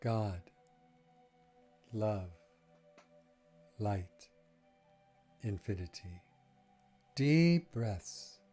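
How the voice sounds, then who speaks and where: A man leading a guided breathing meditation, speaking gently in short phrases with long, unhurried pauses. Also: on the low side.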